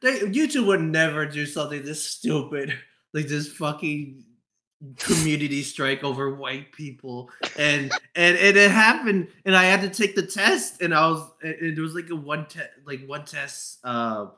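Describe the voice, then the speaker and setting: Guy hosting a podcast, bright punchy voice bit on the high side, stutters n restarts words a lot, super casual. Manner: halting, stammering, repeats words. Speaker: podcast host. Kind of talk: casual chat.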